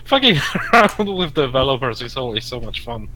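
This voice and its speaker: A man with a Russian accent chatting casually as a gaming podcast co-host, halting and repeating words. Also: mid-range voice, very animated, bright, forceful tone, slight background noise, poor audio.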